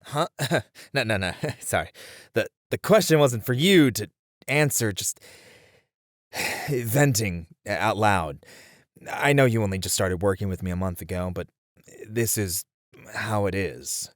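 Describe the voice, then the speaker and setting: Male actor as tired detective, low pitch, dry close sound, frustrated, conversational, musing, ASMR roleplay. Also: animated, measured pace, long pauses, dry recording. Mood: exasperated, venting. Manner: conversational, sighing, hesitant, thinking aloud. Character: weary, frustrated male detective venting about a serial case. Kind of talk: ASMR roleplay acting, spoken to an unheard partner.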